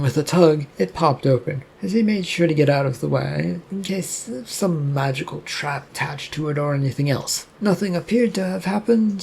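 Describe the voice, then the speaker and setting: A man with a raspy voice reading scripted story narration. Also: a little high, a little mellow.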